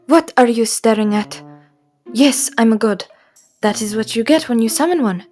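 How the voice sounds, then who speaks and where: A woman's voice: on the high side, moderate pace, a little mellow, faint music behind, close to an everyday voice. A woman voicing a sassy, spiteful and insecure male god of wishes in a fantasy audio roleplay, theatrical, indignant and whiny.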